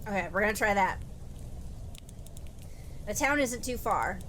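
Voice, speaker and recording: A woman's voice: medium pitch, lively intonation, measured pace, a bit bright, a little hiss or hum. A woman playing through a game with casual, wisecracking commentary, reading the text aloud, with music behind her. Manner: sardonic, wisecracking. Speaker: game streamer.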